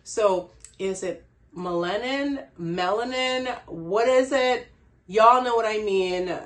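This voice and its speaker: A woman's voice: medium pitch, takes it slowly. A woman from the Bahamas with a Bahamian accent, giving a casual hair care tutorial in a chatty way and laughing at herself. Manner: chatty, laughs at herself, frequent "you know". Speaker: hair care brand owner.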